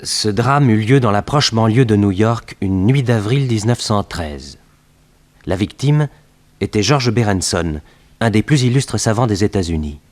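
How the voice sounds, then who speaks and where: A man narrating a 1960s French radio detective serial in a formal, measured, sober way. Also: lowish voice, animated, darkish tone.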